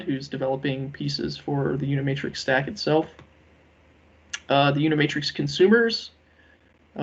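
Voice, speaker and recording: Gravelly, even-toned man on a dull conference call. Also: fairly high pitch. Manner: measured, hesitant, halting delivery with many ums. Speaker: working group lead presenting a proposal. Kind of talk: business presentation on a conference call.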